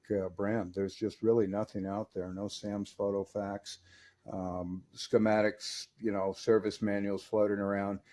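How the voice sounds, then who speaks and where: A man's voice: on the low side, darkish tone. A middle-aged man in a casual, chatty hands-on review, folksy and enthusiastic about old equipment. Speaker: radio repair reviewer.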